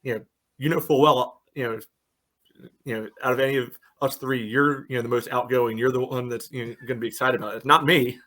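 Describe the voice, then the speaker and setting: A man's voice: mid-range voice, fairly even intonation, a little fast, fairly bright tone. Male sports podcast co-host, conversational analysis, filler-heavy speech, dry sarcastic streak. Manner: says "you know" constantly as filler. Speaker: podcast co-host and soccer fan pundit.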